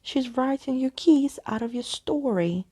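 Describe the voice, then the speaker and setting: A woman's voice: high-pitched, expressive, unhurried, dark tone, slightly rough, pitched above the performer's own voice, heavily acted. A woman voicing a fierce, scornful girl in a children's storybook, snapping impatiently and hurling insults. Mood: exasperated.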